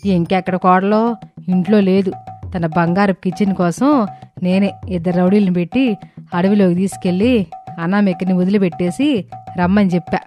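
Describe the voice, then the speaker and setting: A woman's voice: lowish voice, moderate pace, pauses often, dark tone, faint music behind, lightly acted. A woman playing a greedy, scheming middle-aged mother-in-law in a dramatised story, insistent and argumentative. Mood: bossy, scheming. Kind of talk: dramatised moral story acting.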